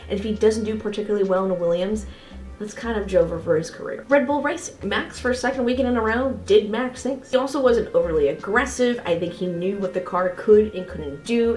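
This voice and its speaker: A woman's voice: mid-range voice, a little mellow. A woman hosting a semi-scripted race review, speaking in an informal, opinionated and emphatic way. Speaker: motorsport video creator and host. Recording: roomy echo with faint music behind.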